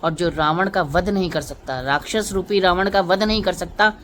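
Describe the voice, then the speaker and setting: A woman's voice: on the low side, steady tone, moderate pace, slight background noise. A woman vlogger delivering an emotional political monologue to viewers, impassioned and rhetorical, repeating phrases for emphasis.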